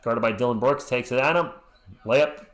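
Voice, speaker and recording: A man's voice: mid-range voice, normal speed, fairly bright tone. Chatty play-by-play man, roomy echo. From Western Massachusetts. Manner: chatty, answers chat messages with joking asides. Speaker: sports stream host. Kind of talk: live play-by-play commentary.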